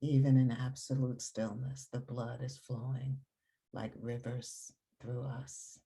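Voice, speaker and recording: A woman leading a guided meditation in a calm, soothing, unhurried manner with long pauses and a soft, breathy voice. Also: rumbling low.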